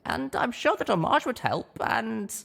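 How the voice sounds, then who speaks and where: A man's voice: extremely high pitch, sing-song, unhurried, creaky, a bit bright, pitched above the performer's own voice, full character voice. A man voicing a young, earnest mare pony heroine, firm yet girlish, in an audiobook. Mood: agreeable. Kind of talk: audiobook character acting.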